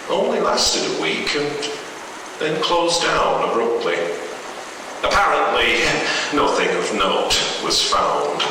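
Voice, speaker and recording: A man reading a horror story in the first person, in a measured, halting delivery with pauses. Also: medium pitch. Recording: very echoey, distant, noisy hall recording.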